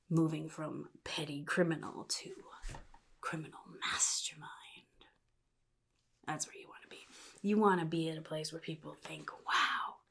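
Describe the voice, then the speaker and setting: Woman playing a sadistic male comic-book supervillain, gravelly half-whisper, husky, halting drawl, casually menacing mentor, soft ASMR roleplay. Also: sits low, full character voice.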